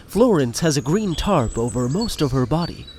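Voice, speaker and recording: A man's voice: on the high side, big pitch swings, moderate pace, darkish tone, slight background noise. A man narrating a scripted comic audio drama in a wry storytelling manner. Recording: music behind the voice.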